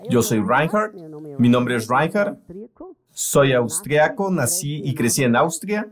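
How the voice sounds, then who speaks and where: A man's voice: mid-range voice, lively intonation, slow pace, over music. A man preaching a sermon in an earnest, emphatic way, voicing it as a translation.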